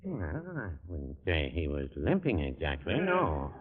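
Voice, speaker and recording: An actor playing a cautious older small-town druggist in 1940s radio comedy, mild, hesitant and noncommittal. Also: male voice, very deep voice, unhurried, slightly rough, full character voice. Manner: hesitant, mild, evasive, deadpan. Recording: muffled, narrow-band 1940s radio recording.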